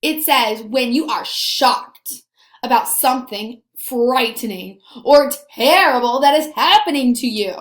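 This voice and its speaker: A woman's voice: a little high, expressive, fairly bright tone. A woman teacher leading a read-aloud lesson for young children, stressing key words and putting questions to her pupils. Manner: slow, deliberate emphasis with questions to pupils. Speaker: elementary school teacher. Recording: roomy, echoey sound.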